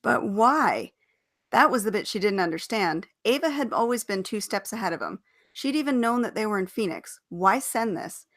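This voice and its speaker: A woman reading a story aloud as an expressive narrator. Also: mid-range voice, brisk.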